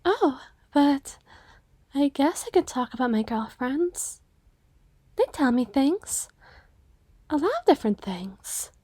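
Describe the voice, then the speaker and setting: A woman's voice: high-pitched, sing-song, slow, long pauses, warm tone, no room sound, full character voice. A woman voicing a shy, self-effacing cyclops waitress in her twenties, hesitant and earnest, in an ASMR roleplay. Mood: earnest, musing. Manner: hesitant, reserved, rambling earnestly.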